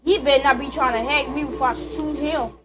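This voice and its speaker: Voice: sits high, steady tone, fast talker, noisy background. A young adult, giving slangy, playful, casual commentary while playing a video game. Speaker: gaming video host.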